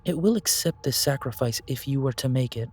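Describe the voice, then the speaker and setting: A man playing a solemn, mystical keeper of ancient magic in a fantasy audio roleplay, measured and formally portentous. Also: medium pitch, animated, darkish tone. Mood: solemn, reverent.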